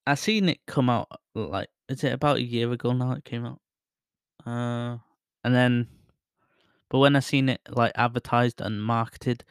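A man talking close into a studio microphone at his home desk, in a dry, dead-sounding space with no room echo. His voice sits at an everyday middle pitch and carries a soothing tone. He rambles through a casual review, laughs often and pokes fun at himself. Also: clean tone. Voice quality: voice others call soothing. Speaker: tech reviewer testing a microphone. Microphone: close studio microphone with headphones.

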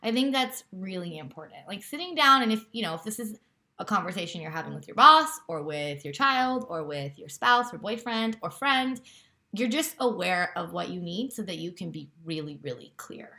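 A woman's voice: lowish voice, lively intonation, moderate pace, creaky, pushed and bright. A woman whose first language is Romanian, co-hosting a podcast in casual chat, with a lot of "like" and "you know". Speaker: podcast co-host.

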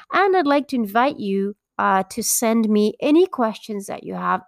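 A woman's voice: mid-range voice, no echo. Woman, middle-aged, from Belgium, podcast host, earnest and upbeat, dry close sound. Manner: earnest, upbeat, with frequent filler pauses. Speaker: podcast host and parenting coach. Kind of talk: solo podcast introduction.

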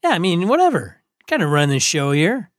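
A man with a North American accent and a fairly high, very lively voice. He is a blunt, slangy podcast co-host in casual discussion, captured dry and close. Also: a little fast, no room sound.

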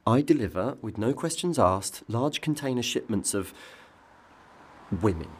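An actor as an exasperated, shifty English bloke, very animated. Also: male voice, medium pitch, measured pace, breathy edge. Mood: secretive, wheedling. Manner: colloquial, impatient. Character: exasperated, shifty male delivery driver, easily riled. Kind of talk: dark comedy audio drama dialogue.

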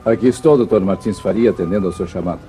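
An actor playing a calm, determined backlands hero in a 1950s radio serial, formal and decisive. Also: male voice, low voice, fast, over music, lightly acted. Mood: courteous. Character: calm, determined heroic male lawman of the backlands. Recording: old, noisy radio serial recording.

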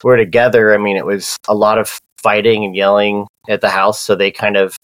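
A man's voice: lowish voice, little pitch movement, fairly quick, fairly bright tone, no room sound. A man, telling his life story in a loose, casual way with many fillers and restarts. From Southern California. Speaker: podcast guest.

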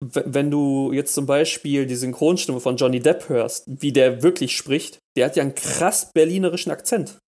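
A man's voice: mid-range voice, moderate pace, hardly any pauses. A man co-hosting a casual podcast chat, talking animatedly and tripping over his words when he gets going. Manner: animated, restarts and stammers when excited. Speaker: podcast co-host.

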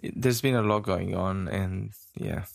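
A man from Romania co-hosts a relaxed chat show. His voice is rough and gravelly, sitting low, and he speaks haltingly with plenty of fillers and small laughs. The sound is dry and close. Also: dry recording. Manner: halting, many fillers and restarts, laughs often. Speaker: podcast co-host. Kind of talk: casual chat.

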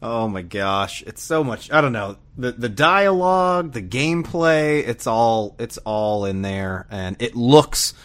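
A man co-hosting a gaming podcast, chatting casually in an enthusiastic, expressive way. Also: mid-range voice, slow pace, hardly any pauses. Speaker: podcast co-host.

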